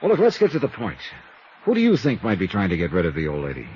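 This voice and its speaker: A man's voice: sits low, wildly swooping pitch, quick pace, a little mellow, a little hiss or hum. A man playing a wry, clipped American private detective in a 1950s radio drama, speaking colloquially. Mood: probing. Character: wry, brisk American male insurance detective. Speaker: private investigator and narrator. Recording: dull 1950s radio recording, music behind.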